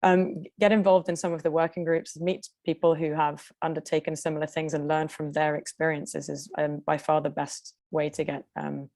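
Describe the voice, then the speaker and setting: Fast-talking English-accented woman, gravelly low. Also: flat delivery, darkish tone, dry recording. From Denmark. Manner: quick, with frequent fillers and restarts. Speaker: conference presenter. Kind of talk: webinar presentation and Q&A. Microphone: video call.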